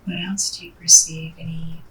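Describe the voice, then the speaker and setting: A woman working as a hypnotherapist, asking gentle guiding questions in a soft, breathy near-whisper with murmured acknowledgements. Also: deep voice, little pitch movement, moderate pace. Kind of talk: hushed, slow guided hypnosis questioning. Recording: distant mic, background noise.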